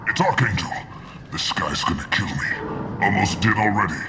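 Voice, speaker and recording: A man's voice: on the low side, lively intonation, normal speed, music in the background, lightly acted. A man voicing a harried, hot-tempered mercenary boss in a video game, impatient, swearing and barking orders. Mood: irritated, weary.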